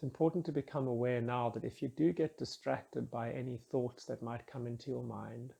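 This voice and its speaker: A man leading a guided meditation in a soft, husky voice, calm and soothing, with measured instructions and gently falling phrase endings. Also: mid-range voice.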